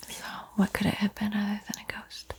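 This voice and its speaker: A woman hosting an ASMR art tutorial in a soft, breathy whisper, gentle and unhurried, with frequent pauses. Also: medium pitch, completely flat delivery. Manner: slow, gentle, pausing often.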